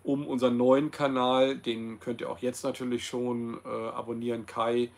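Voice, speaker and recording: A man's voice: medium pitch, level tone, moderate pace. A man in his fifties talking casually to viewers in a rambling way, full of ums and self-corrections, recorded on a laptop camera in a small room. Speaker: host of his own channel. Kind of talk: casual livestream monologue. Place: small cosy room with red walls.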